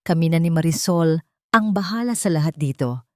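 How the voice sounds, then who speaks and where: A woman's voice: low-pitched, lively intonation, moderate pace, no room sound. Woman acting a smug, condescending husband, dry sound. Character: greedy, treacherous husband, smug male villain.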